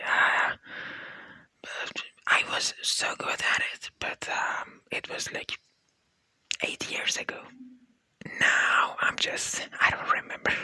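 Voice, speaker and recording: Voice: no room sound, rough recording. A young university student who speaks English as a non-native language, whispering a rambling, laid-back ASMR diary vlog close to the mic. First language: not English; Persian is a second language. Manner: soft unvoiced whisper, rambling and laid-back with many fillers.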